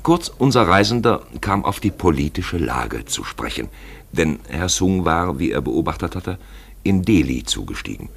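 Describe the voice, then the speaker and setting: A man narrating a literary radio play in an expressive, deliberate storytelling manner, with a gravelly, husky voice. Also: sits low.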